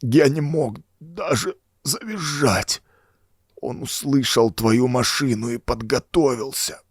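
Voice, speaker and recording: A man's voice: mid-range voice, husky, no echo, studio quality, voice pushed up. A man voicing a dying old former cultist, groaning out a desperate, laboured confession for a horror audiobook. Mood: urgent, pained. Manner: groaning, laboured, dramatic delivery. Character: dying old male renegade cultist confessing in dread and agony. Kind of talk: acted audiobook dialogue.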